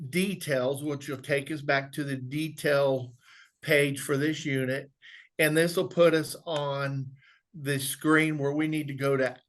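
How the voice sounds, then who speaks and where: A man presenting an instructional software walkthrough, explaining step by step in a conversational way. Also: a little high. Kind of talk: instructional screen walkthrough, informal webinar.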